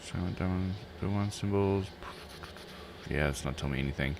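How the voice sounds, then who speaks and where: A man's voice: deep voice, moderate pace, noisy background. A man giving casual live game commentary, thinking aloud in a musing, self-questioning way.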